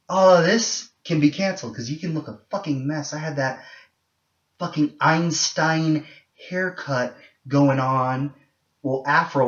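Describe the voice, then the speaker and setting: A young man with a low voice talks straight to his viewers, candid and rambling, full of ums and false starts. The sound is a little muffled and lo-fi. His voice carries the echo of a bare room. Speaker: vlogger. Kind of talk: casual monologue to camera.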